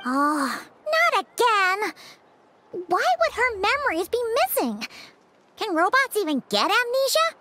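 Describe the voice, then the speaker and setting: A tiny, excitable fairy sidekick with an extremely high, bright childlike voice. The delivery is blunt and exclamatory, with slow, drawn-out pacing in video game style. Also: female voice, no room sound, heavily acted. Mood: dismayed.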